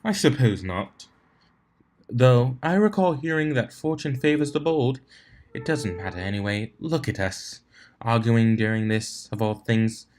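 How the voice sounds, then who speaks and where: A man's voice: medium pitch, expressive, a little slow, a little mellow, natural voice. A man voicing a refined, fussy female unicorn fashionista with a theatrical, ladylike manner in a storybook reading. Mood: grudging, curious.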